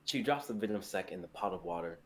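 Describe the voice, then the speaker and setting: Low-voiced young man narrating fantasy. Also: lively intonation, fast talker, a little hiss or hum. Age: young adult. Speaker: narrator of a livestreamed script reading. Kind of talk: scripted dramatic narration.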